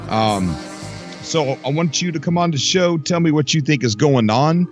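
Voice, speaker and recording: A man's voice: on the high side, expressive, normal speed. Chatty man hosting a live stream. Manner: chatty, rambling, upbeat, greets chat viewers by name. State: voice recovering from loss, skipping a beat. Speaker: host of a live gaming stream. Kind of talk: casual live-stream chat. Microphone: desk mic. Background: faint game music.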